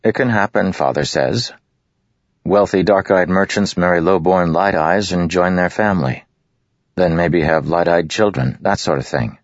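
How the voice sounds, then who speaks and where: A man's voice: sits low, normal speed, dry recording, voice pushed up. A man reading aloud, voicing a clean, bookish, slightly awkward boy who explains things carefully. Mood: explaining. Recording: dull lo-fi recording.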